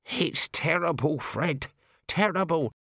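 A man's voice: a little high, animated, slow, gravelly, pushed and bright, no echo, studio quality, pitched above the performer's own voice, full character voice. A man voicing a scruffy small male corporal who moans and whines. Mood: woeful. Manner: moaning, whining.

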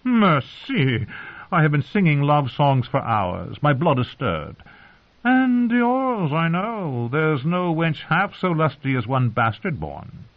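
Through a thin, phone-like band comes a drunken young minstrel, acted by a man, pitched high and swooping. He is oily and lecherous, wooing in florid storybook fashion. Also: very animated, takes it slowly, full character voice. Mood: oily, amorous. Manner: florid, overblown courting. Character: lecherous, flowery young male singer, drunken and wheedling.

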